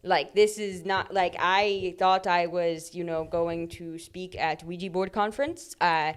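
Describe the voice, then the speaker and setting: A woman voicing an elderly male Swiss Ouija board expert in an improvised comedy bit. She speaks in broken English, drops articles, and keeps a mock-earnest deadpan. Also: lowish voice, measured pace, clean tone, lightly acted.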